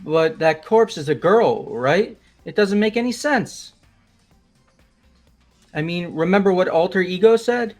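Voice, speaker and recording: A man voices a brash young slacker from a visual novel game. He talks high, in slangy, exclamatory bursts of disbelief, with music faintly underneath. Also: animated, measured pace. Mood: skeptical. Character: brash, incredulous, laid-back young male student.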